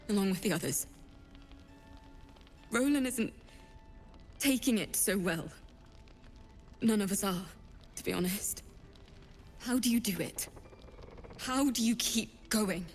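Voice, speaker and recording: A woman's voice: medium pitch, lightly acted. A woman voicing a grateful, careworn refugee who looks after children in a video game, earnest and plain-spoken. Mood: grieving. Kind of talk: video game character acting. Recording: music behind the voice.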